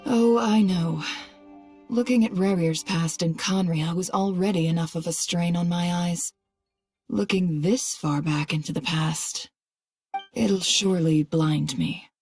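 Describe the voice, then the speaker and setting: A woman playing a composed, proud leader of a secret organization, speaking in a commanding, measured, wry way in a game cutscene. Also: lowish voice, darkish tone, soft background music. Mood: grave, resolved. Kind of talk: dramatic game cutscene acting.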